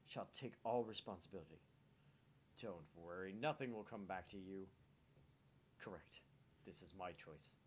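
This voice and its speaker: A bombastic young man who styles himself a mad scientist, acted out by a man reading a visual novel. He is grandiose, impatient and commanding, with big swings in pitch. Faint noise and rumble sit behind the home recording. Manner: theatrical, commanding, maniacal. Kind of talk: fan read-aloud of visual novel dialogue.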